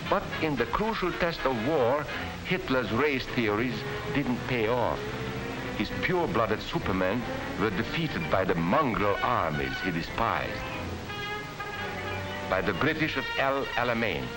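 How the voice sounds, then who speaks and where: Casting: grave 1940s propaganda-film narrator, animated delivery, fervent and emphatic, old soundtrack under loud score. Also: male voice, medium pitch, unhurried, loud music behind the voice, poor audio. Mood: somber, ironic. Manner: dramatic, emphatic storytelling. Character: grave, fervent narrator warning against fascism.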